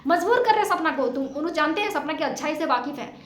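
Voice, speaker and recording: High-pitched, emphatic woman, echoey room. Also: expressive, fast talker, slight background noise. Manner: emphatic, indignant, rhetorical questioning. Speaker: vlogger commenting on a family dispute. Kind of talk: informal opinion commentary to viewers. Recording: echoey untreated room sound.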